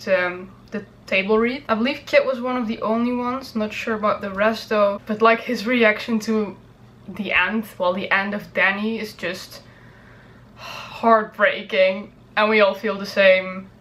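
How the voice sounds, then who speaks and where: Casting: woman reaction vlogger, mid-pitched, informal, rambling and hedging delivery. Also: a bit bright. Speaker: YouTube reaction video host. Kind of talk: casual chat to viewers.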